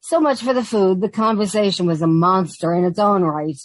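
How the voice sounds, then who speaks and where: A woman's voice: medium pitch, moderate pace, dry recording, close to an everyday voice. A woman playing a sensible, wry, long-suffering young secretary in a 1940s-style radio comedy. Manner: wry, proper.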